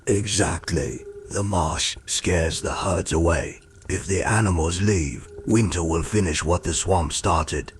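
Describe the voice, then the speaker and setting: A man playing a gruff, stubborn, brooding orc in a fantasy audio drama. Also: deep voice, slow pace, pauses often, a little hiss or hum, pitched below the performer's own voice.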